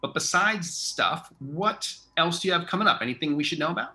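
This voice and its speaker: Bright-voiced man hosting a casual chat. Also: fairly high pitch, animated, brisk. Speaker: interview host, theater artistic director.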